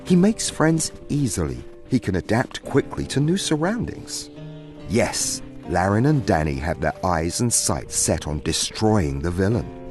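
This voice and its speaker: A man's voice: low voice, big pitch swings, measured pace, music in the background. A man narrating a scripted fantasy story in a theatrical storybook manner.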